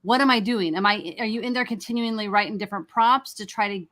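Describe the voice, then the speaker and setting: Woman host asking curious questions, really bright voice, medium pitch. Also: fast. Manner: informal, engaged, inquisitive. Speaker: interviewer, moderator. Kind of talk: casual interview questioning.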